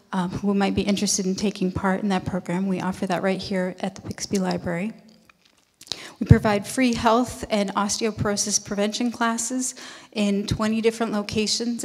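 Woman reading notes in a reverberant hall. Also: mid-range voice. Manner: steady, reads from notes. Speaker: nonprofit representative. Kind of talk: reading a prepared statement at a public meeting. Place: large meeting hall. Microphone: handheld mic.

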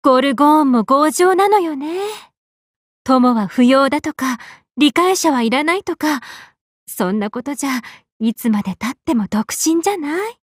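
A woman's voice: high-pitched, moderate pace, no echo, clean studio sound. A woman voicing a tall, affectionate big-sisterly sun goddess in an anime game, swinging between bubbly and tender. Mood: gentle, pensive. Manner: swings between excited exclamations and gentle, tender speech. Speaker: game character. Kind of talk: Japanese anime game voice acting.

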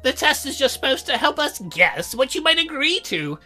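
Woman putting on a high, earnest demon girl. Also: sing-song, moderate pace, bright, forceful tone, faint music behind, heavily acted. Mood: confessing. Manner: hesitant, earnest, stammering. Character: shy, lonely, earnest young female demon. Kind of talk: visual novel character read aloud.

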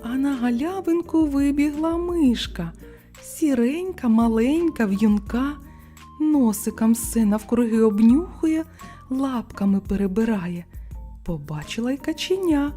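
A woman reads a children's tale with lively, swooping intonation. Her voice is fairly high and soft-toned, and she takes her time over the phrases, with music playing behind her. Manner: expressive, unhurried bedtime reading. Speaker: storyteller. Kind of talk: scripted children's fairy tale narration.

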